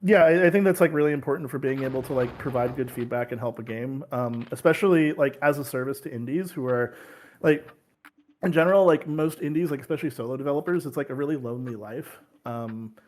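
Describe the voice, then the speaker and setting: A man's voice: medium pitch, fast talker, a little mellow, a little hiss or hum. A man from California talking casually in an interview as a game publisher, rambling with many fillers and restarts over a video call.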